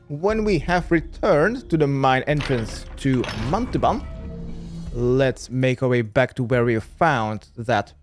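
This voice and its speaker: A man narrating an instructional video game walkthrough in a step-by-step manner. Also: medium pitch. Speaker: gaming guide creator.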